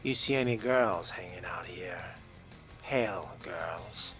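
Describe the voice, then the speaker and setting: Man playing a slow, low-voiced brooding vampire. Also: a bit bright, faint music behind. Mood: probing. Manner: measured, musing, formal. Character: polite, brooding, curious male fledgling vampire. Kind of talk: in-character video game roleplay.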